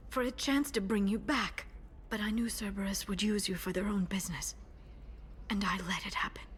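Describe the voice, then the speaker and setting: A woman playing a guarded, driven young alien information broker bent on revenge, in an earnest, measured and intense manner for a video game. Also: mid-range voice, slight background noise, lightly acted. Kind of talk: cinematic video game voice acting.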